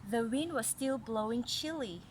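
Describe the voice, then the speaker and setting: A woman reading a picture book aloud to a young child, with gentle, encouraging, chatty asides to explain the pictures. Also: on the high side, lively intonation, moderate pace. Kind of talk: casual read-aloud storytelling with chatty asides. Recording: noisy recording with vehicle-like background hum.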